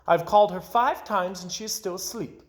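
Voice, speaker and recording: A man's voice: high-pitched, fairly quick, voice pushed up, natural voice. A man voicing an exasperated, fretting mother from a children's picture book. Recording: roomy, echoing sound.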